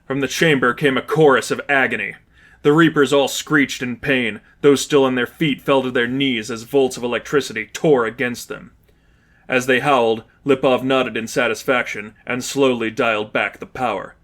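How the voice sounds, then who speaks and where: A man's voice: medium pitch, fairly even intonation, normal speed, fairly bright tone. A man reading scripted fiction aloud as an audiobook narrator.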